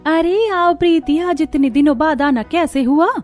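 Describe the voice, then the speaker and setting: A woman's voice: high voice, measured pace, darkish tone, pitched above the performer's own voice. A woman voicing a selfish, evasive housewife neighbour in a cartoon story, emphatic and dismissive. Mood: breezy, busy.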